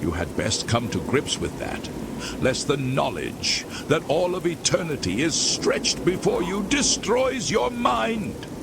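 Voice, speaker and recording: An actor playing an ancient, weary former priest damned to an endless abyss, speaking slowly and solemnly. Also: male voice, a little high, big pitch swings, vocal fry, noisy background, full character voice. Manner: slow, solemn, drawn-out delivery. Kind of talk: game character dialogue. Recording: loud rain and thunder behind.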